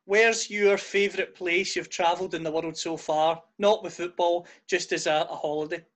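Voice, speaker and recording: A male host runs a friendly sports interview and keeps the tone upbeat. His voice is pitched notably high for a man. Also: fairly even intonation, normal speed, fairly bright tone. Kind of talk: conversational interview questions.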